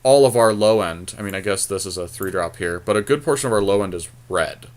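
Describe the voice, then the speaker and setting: A man with a fairly low voice gives casual game commentary. He thinks aloud as he weighs options, in the relaxed conversational style of a podcast co-host. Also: fairly quick.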